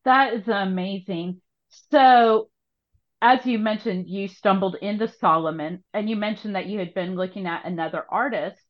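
A woman's voice: mid-range voice, normal speed, highs cut off. A woman hosting a conversational podcast interview, engaged and affirming as she builds on what the guest says.

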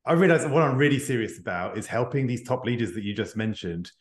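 A man's voice: mid-range voice, animated, quick pace. A middle-aged man speaking earnestly and reflectively as an interview guest. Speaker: podcast guest, executive coach and author. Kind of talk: conversational interview. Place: indoor room, remote video call. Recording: echoey room on a remote call.

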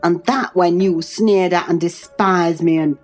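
Woman acting a gruff, surly rustic young man. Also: lowish voice, fairly even intonation, unhurried, soft background music, close to an everyday voice. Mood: aggrieved. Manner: growling, uncompromisingly gruff, cursing.

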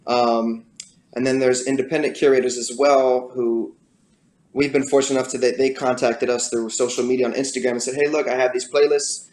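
A man with a raspy voice, a guest musician talking casually in an interview, with many fillers and restarts. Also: medium pitch, little pitch movement, a little fast, a little mellow, echoey room.

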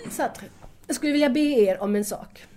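A woman's voice: fairly high pitch, lively intonation, a little mellow, a little hiss or hum, natural voice. A young actress playing an anxious, emotional woman in wartime Paris in an audio drama, speaking earnestly. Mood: torn, pleading. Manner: earnest, agitated.

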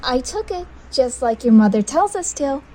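A woman's voice: high voice, sing-song, normal speed, mellow. An actress playing a flippant, defiant young woman in a conversational, teasing way in an audio drama. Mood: defiant, dismissive.

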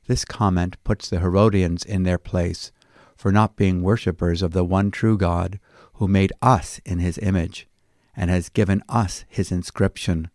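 A man reading a scripted devotional Bible commentary as a pastor, with a measured, teaching delivery. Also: deep voice, fairly even intonation, a little mellow, dry recording, clean studio sound.

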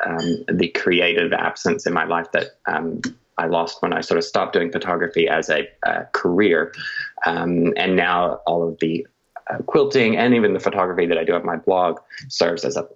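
Deep-voiced guy from oklahoma, chatty podcast guest, lots of ums, muffled call audio. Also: gravelly. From Oklahoma, USA. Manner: chatty, laughs easily, many ums and uhs. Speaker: podcast guest, quilt maker. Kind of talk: casual interview chat. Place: home in Sydney, remote call.

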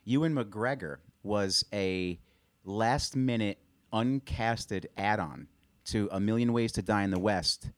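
A man's voice: fairly low pitch, takes it slowly, dry recording. A man co-hosting a casual movie podcast, searching aloud for names with many ums and uhs. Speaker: podcast co-host.

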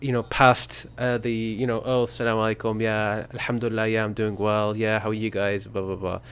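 A man's voice: lowish voice, little pitch movement, a little fast, a bit bright, a little hiss or hum. A man from the UK, chatting thoughtfully and easily on a podcast. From United Kingdom. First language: English. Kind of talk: casual podcast chat.

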